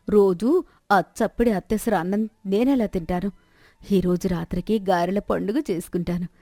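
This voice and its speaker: A woman storyteller voicing a greedy, scheming village wife with a sharp tongue and a complaining manner. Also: mid-range voice, no echo, studio quality, natural voice. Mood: gleeful, greedy. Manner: scornful, self-pitying complaining.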